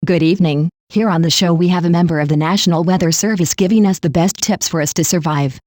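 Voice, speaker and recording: A woman's voice: lowish voice, fast talker, darkish tone, no echo, studio quality, lightly acted. A woman playing a polite, composed radio news host in a scripted broadcast introduction.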